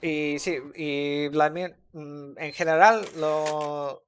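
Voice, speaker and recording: A man's voice: a little high, takes it slowly, a little hiss or hum. Rambling man chatting on stream. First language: English. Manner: rambling, relaxed, heavy on filler words. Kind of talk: casual chat with a live audience while gaming.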